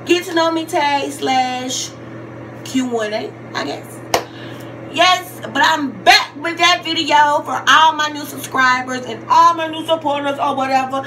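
A woman's voice: sits high, unhurried, noisy background. A woman in her twenties from Georgia with a Southern US accent, talking casually and repetitively in slang as she answers questions for a vlog. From Georgia, United States. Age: 20s. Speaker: YouTube vlogger.

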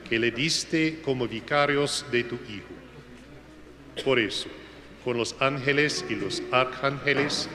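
A man reciting the Mass prayers as a priest, in a slow, solemn liturgical manner. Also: mid-range voice, bright, forceful tone. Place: church. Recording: echoey church with noise.

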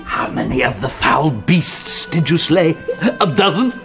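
A man's voice: on the high side, expressive, measured pace, vocal fry, exaggerated voice. An actor playing an older, befuddled but kindly king in a comic video game, with a muddled, pompous delivery. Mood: pompous, musing. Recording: loud music under the voice.

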